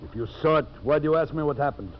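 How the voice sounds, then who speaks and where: A man's voice: fairly high pitch, racing, close to an everyday voice. An actor playing a surly, scheming timber foreman in a 1950s radio drama. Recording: noisy, muffled 1950s radio broadcast.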